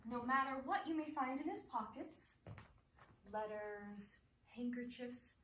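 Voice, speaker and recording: Woman acting a poised worldly actress in a stage play, a bit high, wry and teasing, echoey room. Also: a little slow. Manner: poised, wry, gently mocking. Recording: roomy, distant mic, faint noise.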